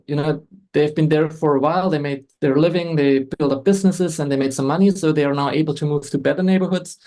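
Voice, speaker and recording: Gravelly man, hesitant. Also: a little high, darkish tone. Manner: hesitant, frequent restarts and fillers. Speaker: guest photographer. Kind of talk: podcast interview.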